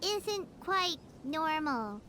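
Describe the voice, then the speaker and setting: A woman voicing a tiny childlike fairy companion in a video game, naive and easily puzzled, with a squeaky way of exclaiming. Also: big pitch swings, extremely slow, pushed and bright, slight background noise, full character voice. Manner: squeaky, exclaiming, speaks of self in third person. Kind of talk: video game character acting. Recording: game audio with clear background music.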